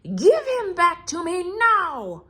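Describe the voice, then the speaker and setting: A woman voicing a tyrannical evil witch queen in a children's storybook, screeching and imperious, with drawn-out menace. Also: very high-pitched, wildly swooping pitch, bright, forceful tone, pitched above the performer's own voice, heavily acted. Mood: furious, demanding. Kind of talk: theatrical children's storybook read-aloud.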